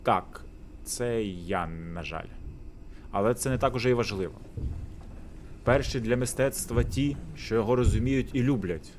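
A man's voice: medium pitch, normal speed, slight background noise, lightly acted. A man voicing a pompous, drunken virtuoso musician who lives in a doghouse and philosophizes grandly. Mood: lofty.

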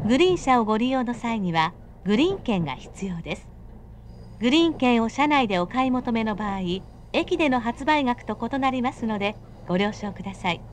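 A woman's recorded voice giving automatic train announcements in smooth, evenly paced, clipped phrases. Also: on the high side, animated, brisk, a little hiss or hum. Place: inside a moving commuter train.

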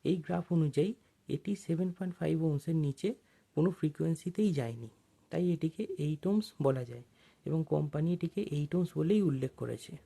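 A person narrating an informal technical tutorial as an instructor, explaining things step by step with pauses. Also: medium pitch, vocal fry, dark tone, breathy edge.

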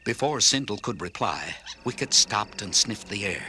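A man's voice: fairly low pitch, expressive, normal speed. A man narrating a children's story in a scripted, dramatic style.

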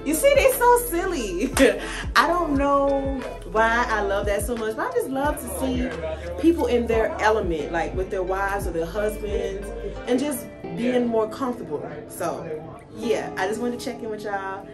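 Woman, 30s, chatty vlogger, phone held at arm's length, a little high-voiced, music clearly audible under her. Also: animated, unhurried. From Atlanta, United States. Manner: chatty, bubbly, addresses viewers as y'all. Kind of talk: casual vlog chat to camera. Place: office turned party venue, indoors.

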